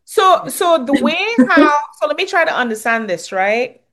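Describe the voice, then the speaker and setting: A woman's voice: high voice, big pitch swings, a bit bright. Chatty Trinidadian woman interviewer. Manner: chatty, many fillers and quick "yeah, yeah" affirmations. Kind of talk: casual interview.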